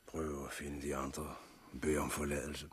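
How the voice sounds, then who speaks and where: An actor playing a chastened, plain-spoken man with a raspy voice in a 1960s radio drama. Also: a bass voice, monotone, full character voice. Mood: remorseful, tired. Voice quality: raspy, husky. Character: chastened, plain-spoken former raider, now an easygoing companion.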